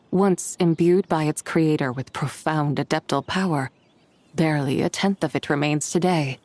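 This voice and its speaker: A learned, courteous lady from a fantasy video game. Her voice sits slightly low, with composed and measured delivery. She phrases everything formally, in an old-world way. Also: a little mellow, breathy edge.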